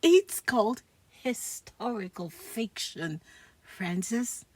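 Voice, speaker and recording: A woman's voice: on the high side, very animated, slow pace, darkish tone, husky, dry recording, heavily acted. A woman playing a cocky schoolboy from a comic strip, self-important and theatrical, in a storybook read-aloud. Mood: defensive. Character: cocky, dramatic schoolboy with grandiose ideas.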